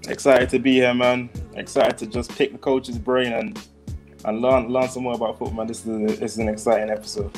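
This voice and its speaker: A man's voice: medium pitch, flat delivery, a little fast, soft background music. A man from Jamaica co-hosting a sports podcast, enthusiastic and laughing as he talks. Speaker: podcast co-host. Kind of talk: casual chat.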